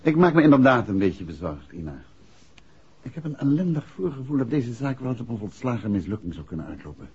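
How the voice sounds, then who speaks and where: Very husky, composed gentleman detective, muffled. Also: mid-range voice, very animated. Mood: worried. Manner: measured, deliberate. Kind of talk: 1960s Dutch radio drama acting. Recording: muffled old 1960s radio recording.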